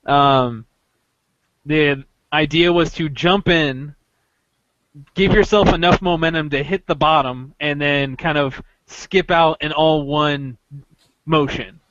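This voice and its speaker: Higher-voiced man, halting podcast storyteller. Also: a bit bright, dry recording, dull audio. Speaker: podcast host telling a story. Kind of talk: casual chat, recounting an experience.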